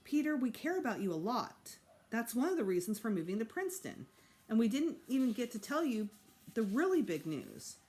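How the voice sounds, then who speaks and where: A woman's voice: on the high side, very animated, fairly quick, darkish tone, pitched above the performer's own voice. A woman voicing a patient, reassuring mother in a storybook, coaxing and placating her child.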